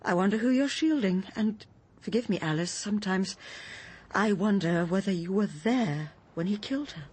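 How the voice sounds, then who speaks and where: Troubled, earnest Englishwoman, radio drama. Very breathy, hesitant delivery with a lively, shifting pitch. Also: moderate pace. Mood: anxious, accusing. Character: troubled, earnest woman gently confronting her friend. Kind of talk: British radio drama acting.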